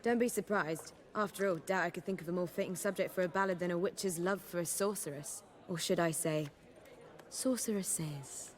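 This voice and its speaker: A woman's voice: on the low side, moderate pace, lightly acted. An actress playing a witty, poised female bard, articulate and wry, in a dramatic fantasy video game. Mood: knowing. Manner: articulate, wry, theatrical wording.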